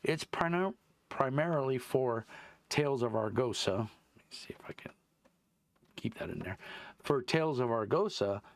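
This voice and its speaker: A man with a deep voice hosting his tabletop game review channel, rambling casually with lots of fillers and restarts. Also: animated, normal speed, long pauses, dry recording. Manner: meandering, many fillers and restarts. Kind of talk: casual rambling chat to camera. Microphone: wireless clip-on mic.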